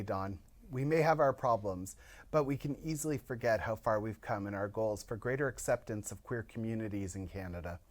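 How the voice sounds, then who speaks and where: A man co-hosting a podcast, reading prepared introduction remarks in an even way. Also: on the low side. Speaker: podcast co-host. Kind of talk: scripted show introduction.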